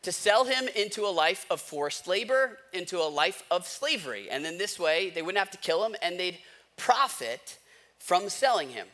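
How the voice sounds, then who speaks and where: A man's voice: high voice, expressive, moderate pace, sharp tone. An American man preaching a sermon as a pastor, telling stories informally with wry asides and speaking directly to his listeners. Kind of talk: conversational storytelling sermon.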